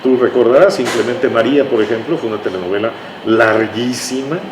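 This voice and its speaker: Older male, Mexican accent, mid-pitched, conversational interview guest with halting explanations; roomy, noisy recording. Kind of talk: conversational reminiscing. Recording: echoey room with clear background noise.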